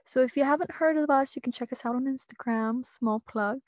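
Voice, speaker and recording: Young woman, podcast co-host, cheerful, chatty, higher voice, dry sound. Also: fairly quick, a little mellow, no room sound. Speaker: podcast co-host, church worship and media worker. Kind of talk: casual podcast introduction.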